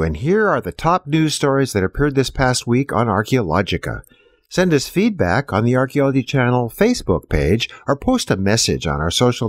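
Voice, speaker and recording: A man's voice: mid-range voice, no room sound. A man hosting a news program, reading a scripted introduction. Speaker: news show host.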